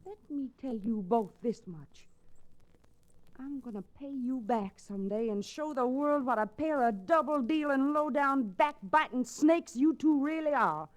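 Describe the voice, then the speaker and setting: An actress playing a proud, stubborn young rancher's daughter in an old-time radio Western, sharp-tongued and scornful. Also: female voice, sits high, measured pace. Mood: bitter, angry. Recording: restored vintage radio recording.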